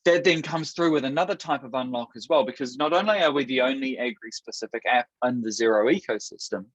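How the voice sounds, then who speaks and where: A man from New Zealand with a Kiwi accent, hosting a product webinar in an upbeat, steady presenter manner with a few restarts. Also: medium pitch, quick pace, no room sound. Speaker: webinar host. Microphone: video call. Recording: dull dry webinar audio.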